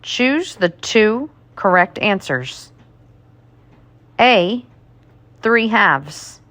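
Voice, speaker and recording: Woman, teacher, very slow pace, deliberate read-aloud of test questions, medium pitch, dry recording. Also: sing-song, a bit bright, no echo. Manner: deliberate, item-by-item enunciation.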